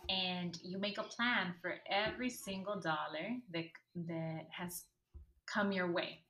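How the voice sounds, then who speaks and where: A woman's voice: lowish voice, fairly even intonation, unhurried, sharp tone, roomy sound. A woman from the Los Angeles area, a podcast guest who teaches about money, explaining earnestly and leaning on "like" and "you know.". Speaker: podcast guest, finance educator. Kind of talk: casual interview chat.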